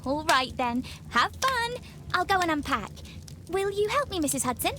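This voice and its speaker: Very high-pitched cheeky schoolgirl. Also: big pitch swings, normal speed, bright, forceful tone, slight background noise, full character voice. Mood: breezy. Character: spirited, precocious, cheeky Victorian schoolgirl. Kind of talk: video game voice acting.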